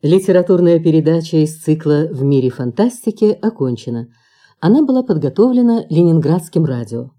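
A woman's voice: low-pitched, lively intonation, a little fast, mellow. A woman reading a formal, scripted announcement as a Soviet-era radio announcer.

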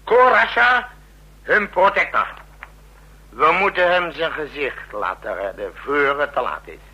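An actor playing a commanding, brusque president in a Dutch radio drama, barking orders and grumbling asides. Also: male voice, fairly high pitch, expressive, a little slow, sharp tone, breathy edge, full character voice, low-quality recording. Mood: brisk, commanding.

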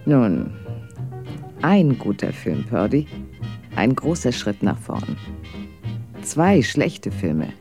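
A woman's voice: rumbling low, big pitch swings, slow pace, long pauses, music in the background, full character voice. An actress in a radio drama playing a bitter, proud former career woman in a wheelchair, cutting and scornful.

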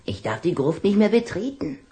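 An actress playing a small boy vampire in a children's radio play, urgent and bossy, with a slightly creaky voice. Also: on the low side, sing-song, moderate pace, mellow. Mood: grave, indignant. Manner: dramatic, urgent.

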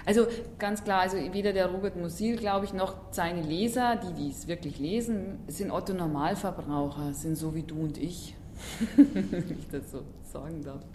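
Woman chatting thoughtfully in an interview, lowish voice, roomy with a bit of background noise. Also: normal speed, darkish tone. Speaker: bookseller, interviewee. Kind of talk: spontaneous interview talk.

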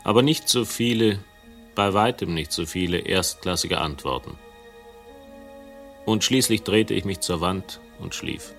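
A weary male crime hero broods aloud in a seventies radio play. His voice is very deep, with soft music behind it. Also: expressive, measured pace, heavily acted. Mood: brooding. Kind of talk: 1970s radio drama monologue.

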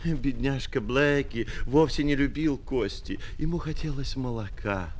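A man narrating a children's fairy tale as an expressive storyteller. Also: medium pitch, unhurried. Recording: music on a 1970s children's flexi-disc.

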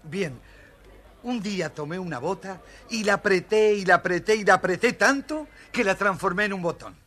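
An actor playing a cheerful, cocky young goatherd, telling things playfully in a children's audio story. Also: male voice, high-pitched, moderate pace, fairly bright tone. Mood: boastful. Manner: boastful, playful.

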